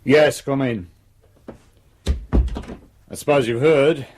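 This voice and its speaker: An actor as a brusque, domineering police chief. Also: male voice, mid-range voice, slow pace, a little mellow, a little hiss or hum, natural voice. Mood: brisk, businesslike. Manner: brusque, authoritative, threatening. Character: domineering senior police detective in charge of murder case. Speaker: senior detective. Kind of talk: radio drama acting.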